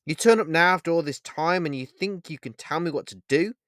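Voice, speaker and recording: A man voicing a heartbroken, furious young woman who shouts and nearly screams. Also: medium pitch, sing-song, slow, no room sound, studio quality.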